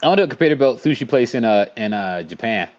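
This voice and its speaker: A man's voice: mid-range voice, lively intonation, fast. A man with a light Cleveland accent co-hosting a sports podcast in casual banter. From Cleveland, USA. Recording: dull, lo-fi microphone sound.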